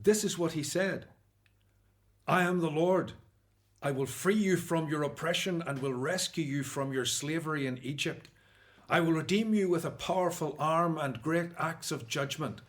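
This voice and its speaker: A man plays a devout Hebrew prophet leading his people in a Bible radio drama. The voice is slightly high for a man. His delivery is solemn, earnest and declamatory. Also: normal speed, natural voice. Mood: solemn, pleading.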